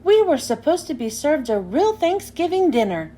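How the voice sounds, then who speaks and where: A woman's voice: high voice, sing-song, normal speed, mellow, slight background noise. A woman voicing a brash, blunt young girl in a children's storybook read-aloud. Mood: offended. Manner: blunt, scolding. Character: brash, indignant young girl demanding a proper dinner.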